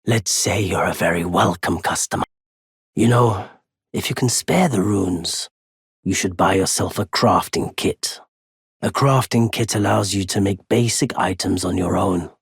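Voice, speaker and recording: A very husky, lowish male voice for a wandering merchant in a video game. He speaks slowly and politely, with weary understatement and a quietly unforgiving streak. The recording is dry and close. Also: dry recording, full character voice. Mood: courteous, welcoming. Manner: measured, polite, wry, understated. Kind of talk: video game character dialogue.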